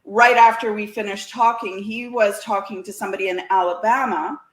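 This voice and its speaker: A woman's voice: mid-range voice, steady tone, brisk, reverberant. A woman with a Canadian accent, chatting informally as a guest in a casual conversation. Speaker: guest, CB radio community organiser. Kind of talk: casual chat.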